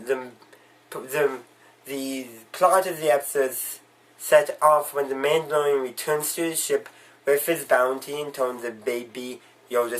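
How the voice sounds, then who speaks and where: A man's voice: mid-range voice, fairly bright tone, poor audio. A man recovering from a cold, giving a casual, rambling spoken review with frequent restarts. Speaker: video reviewer.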